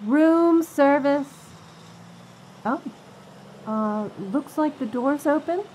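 A woman's voice: high-pitched, extremely slow, a little mellow, slight background noise. A woman playing a polite hotel room-service worker in an audio drama. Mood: polite, concerned.

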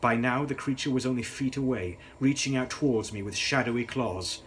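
A man's voice: mid-range voice, fairly quick, fairly bright tone, slightly rough. A young man reading a gothic novel aloud in a grave, theatrical, dramatic style. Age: young adult. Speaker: author reading his novel.

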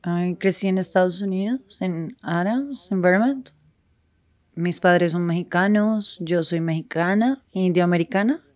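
A woman speaking frankly in a street interview, with halting pauses and restarts. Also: on the low side, a little mellow, no room sound.

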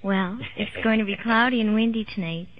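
A woman's voice: mid-range voice, fairly even intonation, fairly quick. A woman giving a casual live weather forecast on the radio. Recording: dull 1970s radio broadcast.

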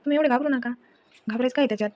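A woman's voice: sits high, rapid-fire, muffled recording, lightly acted. A woman playing an eager, insistent young man in a family comedy drama, speaking with a Maharashtrian Marathi accent. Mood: reassuring. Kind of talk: acted family comedy dialogue.

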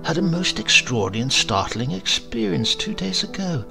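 A man voicing a troubled, precise English gentleman in clipped diary entries for a horror story. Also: fairly high pitch, very animated, normal speed, creaky, over music, voice pushed up. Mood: perplexed. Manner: clipped, telegraphic diary phrasing.

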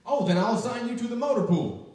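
An older man playing a gruff, sardonic, exasperated army drill sergeant in a live radio-style comedy skit. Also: very high-pitched, sing-song, fast, a little mellow. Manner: barking, sardonic. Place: library room, live performance, indoors. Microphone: stand microphone, distant camera. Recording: echoey room, far mic, audience noise.

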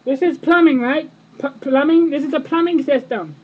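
Young man putting on a shrill, whiny high-pitched wife. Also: normal speed. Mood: flustered, complaining. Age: young adult. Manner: whiny, dramatic, over-the-top. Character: shrill, indignant wife on a prank call. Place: indoor room with friends around. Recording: muffled, noisy webcam microphone.

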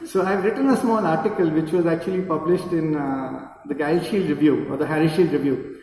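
A man's voice: fairly high pitch, fairly quick, a little mellow. A middle-aged man with an Indian accent gives an informal, rambling, anecdotal speech from a podium, recorded from across the room. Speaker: guest speaker, neurosurgeon. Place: indoor event hall at a podium. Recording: echoey hall, distant camera microphone.

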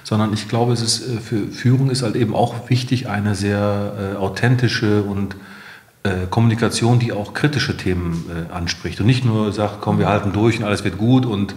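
Low-voiced man in a roomy space. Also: moderate pace, darkish tone. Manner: explanatory, sprinkled with English business terms. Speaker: company co-founder and manager being interviewed. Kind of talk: conversational business interview. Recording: roomy, echoing interview recording.

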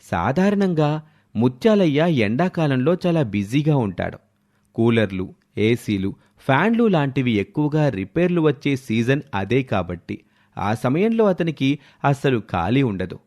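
A male storyteller with a medium-pitched voice. He reads scripted narration with expressive delivery, as for a moral tale. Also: unhurried.

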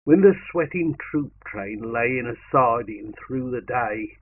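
A man giving a theatrical, measured poetry recitation in a colloquial soldier's vernacular. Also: medium pitch, animated. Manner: theatrical, measured, with dropped-grammar colloquial delivery. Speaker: poetry reader. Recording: narrow tinny sound, faint noise.

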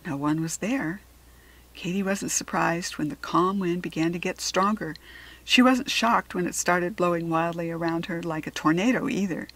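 An American woman narrating a children's story expressively, with deliberate dramatic emphasis on key words. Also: fairly low pitch, a bit bright, rough recording. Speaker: storybook reader.

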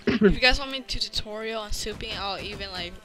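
A man's voice: high voice, a little fast. A thirteen-year-old boy hosting a gaming video with casual, youthful, informal commentary. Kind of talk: casual gameplay commentary and chat. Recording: music playing behind the voice. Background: loud music.

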